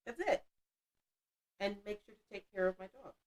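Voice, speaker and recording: Woman, 50s, livestream host; chatty, blunt, self-mocking, quick to laugh. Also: medium pitch, animated, darkish tone. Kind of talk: casual livestream chat reading the chat.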